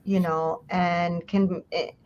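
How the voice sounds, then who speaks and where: A woman's voice: on the low side, little pitch movement, takes it slowly, darkish tone. A woman hosting a live online conversation, speaking casually with frequent fillers. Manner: conversational, many "you know" fillers. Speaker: host. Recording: dull lo-fi sound.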